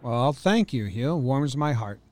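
A man's voice: medium pitch, animated, unhurried, a little mellow, no echo. Blunt, sweary man on a sports podcast. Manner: blunt, profane venting. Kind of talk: casual sports talk.

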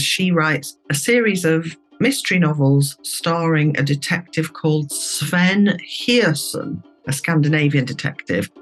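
A man's voice: fairly high pitch, fairly bright tone. A man giving conversational expert commentary as an interviewee, explaining in a chatty, informal way.

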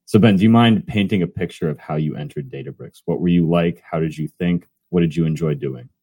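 Young male podcast host, low husky voice, easygoing and teasing. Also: a little fast, dark tone, no echo. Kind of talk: casual podcast intro and questions.

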